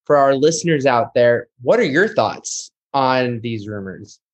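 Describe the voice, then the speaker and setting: Guy hosting a chill podcast, average pitch, keen, talks right to the listeners. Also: animated, unhurried, lo-fi sound. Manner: enthusiastic, addresses listeners directly. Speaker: podcast host. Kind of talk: casual podcast chat.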